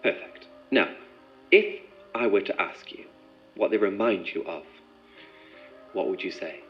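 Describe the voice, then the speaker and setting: A man's voice: sits low, measured pace. An actor playing a composed, methodical male researcher in an audio drama, speaking in a clinical, probing, precise way. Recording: muffled sound with music behind.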